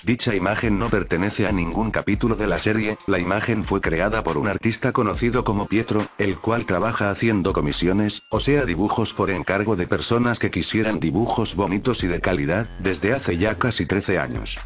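A man's voice: on the low side, normal speed, few pauses. A man reading scripted narration as the narrator of a countdown video. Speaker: narrator of a top list video. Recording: music behind the voice. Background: background music.

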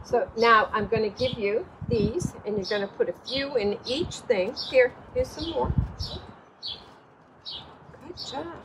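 A woman in her sixties showing young children how to garden, encouraging them with praise and simple instructions. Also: a little high. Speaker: children's storytime host. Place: outdoors at a table in the wind. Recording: breezy outdoor noise, birds.

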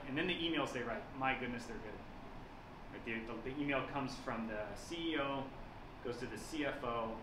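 A man in his thirties explaining things on a panel at a lunch event, talking with many fillers and restarts. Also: mid-range voice. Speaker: company executive giving a talk. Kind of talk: conversational panel presentation. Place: banquet room at a lunch event. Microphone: handheld mic, filmed from a far camera. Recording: echoey room from far off, lunch noise.

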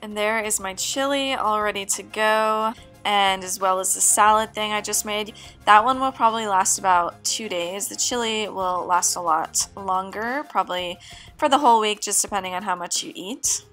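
A young woman with a bright, forceful tone chats easily to her viewers, explaining each cooking step as she goes. She sounds close to a camera in a home kitchen, with faint music underneath. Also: mid-range voice, moderate pace. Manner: chatty, explaining steps to viewers. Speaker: vlogger. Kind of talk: casual cooking vlog.

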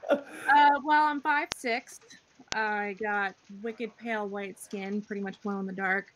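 A woman's voice: medium pitch, fairly even intonation, slow pace, a bit bright, slight background noise, rough recording. A woman on a video call describing her tabletop character in a casual, giggly way. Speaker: player. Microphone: webcam video call.

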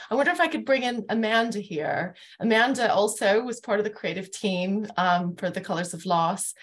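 A middle-aged woman hosting an online panel discussion, speaking in a halting, thoughtful way over a video call. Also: medium pitch. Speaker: host and moderator. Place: home room, indoors.

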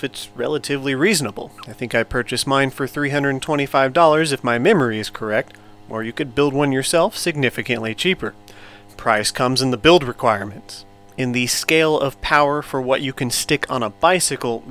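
A man narrating a scripted product review as a vlogger, in a measured, matter-of-fact way. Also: medium pitch, pushed and bright. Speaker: vlogger, motorized bike reviewer.

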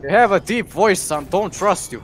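A man playing an online game with friends, excitable and jokey, shouting at the action. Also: high-pitched, moderate pace, slight background noise. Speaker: fan player. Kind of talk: casual gaming chat.

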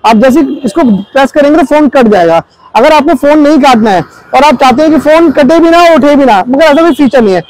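High-pitched, fast-talking man. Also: expressive, no room sound, poor audio. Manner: explanatory, mixes in English technical words. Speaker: motorcycle gear vlogger. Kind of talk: product review talk to camera. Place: outdoors on a busy market street.

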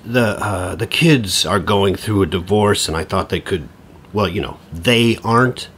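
An actor playing a harried, sarcastic divorced father in his forties, halting and exasperated, in a comedic audio drama. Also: deep voice, fairly bright tone, slight background noise. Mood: awkward, uneasy. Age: middle-aged.

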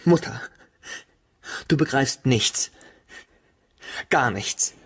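An actor playing a sullen, defensive young son in a radio drama, evasive and defiant in short replies. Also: male voice, medium pitch, animated, very slow, fairly bright tone, breathy edge, full character voice. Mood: pleading, defiant. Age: young adult. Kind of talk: German radio drama acting.

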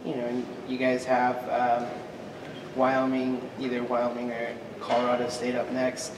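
A male reporter asks his questions haltingly, stumbling over words in a flat, even tone. He sounds far off in a large echoing room. Steady room noise sits behind him. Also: medium pitch. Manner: halting, with many stumbles and "you know". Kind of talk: press conference questions. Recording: echoey hall, distant mic, room noise.

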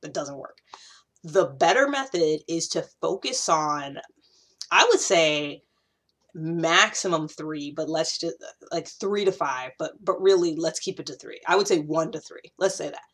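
A woman's voice: deep voice, unhurried, slightly rough. An American woman in her thirties talking casually to camera, conversational and emphatic, repeating points for stress. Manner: conversational, animated gesturing, emphatic repetition. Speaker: YouTube host sharing self-growth advice. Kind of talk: casual direct-to-camera talk.

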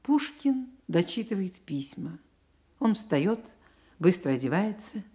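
A woman narrating a radio drama about a poet's life as an expressive literary storyteller. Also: on the low side, takes it slowly. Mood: expressive storytelling.

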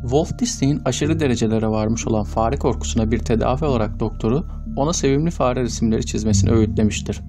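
Low-pitched man narrating over music. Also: quick pace, hardly any pauses. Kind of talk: scripted narration of trivia video. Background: background music.

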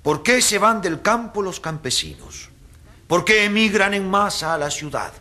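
An actor playing an earnest, emphatic professor who argues didactically in an oratorical radio debate. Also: male voice, sits high, expressive, moderate pace, sharp tone. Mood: earnest, challenging. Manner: didactic, rhetorical questions. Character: earnest, emphatic male professor arguing for landless peasants.

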